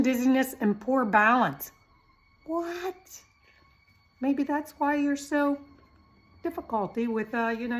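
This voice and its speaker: Casting: middle-aged woman, high voice, informal health vlogger, teasing and animated, plenty of rhetorical questions. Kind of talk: informal, chatty health talk to camera.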